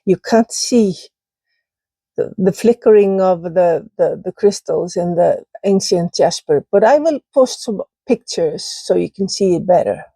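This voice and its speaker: A woman's voice: lowish voice, lively intonation, gravelly, mellow, breathy edge, no room sound. A woman with an Icelandic accent, casually showing her pottery in a vlog, searching for words as she goes. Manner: slow, searching for words, with fillers. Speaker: potter showing kiln results. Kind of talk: casual show-and-tell vlog.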